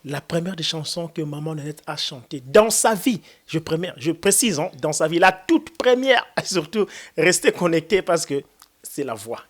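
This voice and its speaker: A man's voice: a little high, lively intonation, normal speed. A man from Congo interviewing a gospel singer, asking long, courteous questions full of hesitations. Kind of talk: formal interview.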